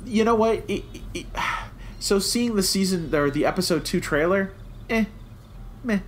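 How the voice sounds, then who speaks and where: An American man co-hosting a podcast in casual, animated fan chat that slips into mock quotes. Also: high-pitched, normal speed, slight background noise. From United States. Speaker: podcast co-host.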